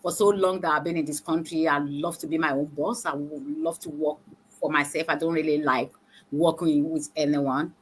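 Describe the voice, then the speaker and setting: A woman's voice: low-pitched, expressive, moderate pace, slightly rough, rough recording. A woman on a live stream panel telling her own story in an earnest, hesitant way with many fillers. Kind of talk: personal testimony in a live stream.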